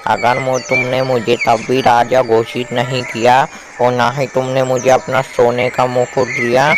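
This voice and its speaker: A man's voice: medium pitch, completely flat delivery, a little slow, over music, natural voice. A man voicing an ambitious, cocky young lion who talks in a colloquial, boastful way in a cartoon fable. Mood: indignant. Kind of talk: Hindi animated moral story dialogue. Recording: faint background noise.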